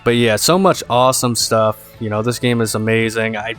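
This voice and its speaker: A man's voice: lowish voice, level tone, moderate pace, fairly bright tone, clear, resonant tone, faint music behind. A young man giving casual, rambling game commentary as an enthusiastic fan hosting his own video. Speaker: gaming video host.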